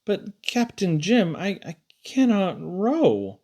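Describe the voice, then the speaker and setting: A man voicing a meek sailor in a storybook poem, pleading slowly and hesitantly with his captain. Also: sits high, very animated, darkish tone, pitched above the performer's own voice, heavily acted.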